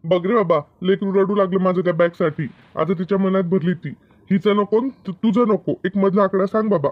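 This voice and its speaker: A man's voice: high-pitched, fairly even intonation, brisk, no echo, natural voice. A man voicing a plain-spoken, impatient village father in a comedy cartoon, blunt but placating, on a lo-fi recording. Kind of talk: Marathi comedy cartoon dub.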